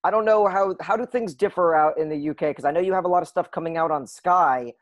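A man's voice: sits high, quick pace, no room sound. Chatty American man, dry close sound. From United States. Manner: chatty, enthusiastic about TV shows. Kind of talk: casual chat.

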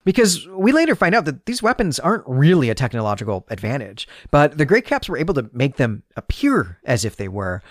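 A man's voice: medium pitch, big pitch swings, fairly quick, hardly any pauses, no echo, studio quality. A man hosting a book discussion podcast, explaining in a conversational, semi-scripted way with emphatic phrasing. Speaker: podcast host and historian.

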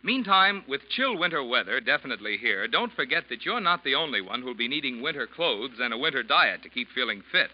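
A man's voice: mid-range voice, big pitch swings, a little fast, few pauses. A male radio announcer reading scripted sponsor copy in a polished, emphatic 1940s style. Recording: dull old radio recording. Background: music.

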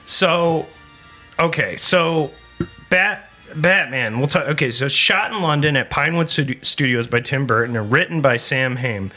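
Stammering animated man in his forties. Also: fairly high pitch, a little slow, faint music behind. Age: 40s. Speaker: podcast host. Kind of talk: casual movie podcast chat.

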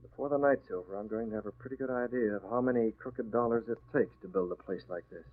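Guy as take-charge publisher hero, mid-pitched crisp voice, 1940s radio serial, tinny narrow sound. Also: fast, natural voice. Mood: resolute. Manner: confident, crisp, in command. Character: decisive male newspaper publisher who is secretly a crimefighter. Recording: tinny, narrow-band old radio recording.

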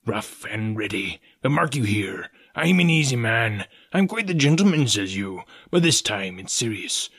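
A man acts out a cunning pirate sea cook from an adventure storybook. He is domineering and scheming, scolding his mates in rough, rustic sailor's speech. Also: lowish voice, sing-song, moderate pace, hardly any pauses, fairly bright tone, heavily acted. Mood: coldly calculating.

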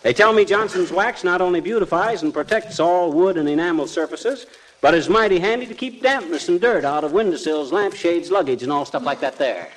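A man's voice: high voice, lively intonation, a little fast. A man playing an eager, boastful, bungling husband with folksy slang in a 1940s radio comedy. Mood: teasing. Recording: slightly noisy vintage radio recording.

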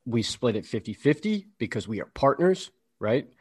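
A man's voice: medium pitch, big pitch swings, moderate pace, a little mellow, breathy edge. A man in his late twenties, co-hosting a podcast, talking earnestly and casually, often repeating words and swearing now and then. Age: 20s. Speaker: podcast co-host. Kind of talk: casual chat.

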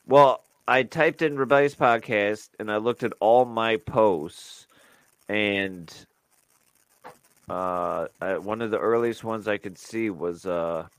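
A man with a lowish voice co-hosts a casual podcast. He rambles, restarting sentences and filling gaps with "um" and "uh". The sound is dry and close, with no room to it. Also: slow pace, no room sound. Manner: rambling, lots of fillers and restarts. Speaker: podcast co-host. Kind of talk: casual chat.

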